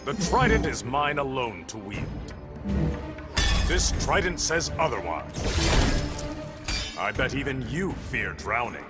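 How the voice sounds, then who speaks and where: Undersea warrior king, mid-pitched, animated range, regal, stern, commanding, video game drama, loud music behind. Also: slow pace, long pauses.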